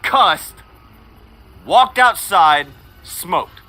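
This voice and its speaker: A male stream host with a lively, swooping delivery and a bright, forceful tone. He teases his co-host, reads out viewer comments and drifts into crude, profane anecdotes in loose casual chat. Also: high-pitched, drawn-out words. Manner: crude, teasing, profane storytelling.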